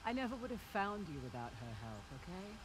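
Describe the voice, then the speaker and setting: A woman's voice: medium pitch, big pitch swings, a little fast, close to an everyday voice. A woman playing a sardonic, take-charge female treasure hunter in her thirties in an action video game. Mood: exasperated. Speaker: video game character actor. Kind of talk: cinematic action game dialogue. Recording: game ambience noise behind voice.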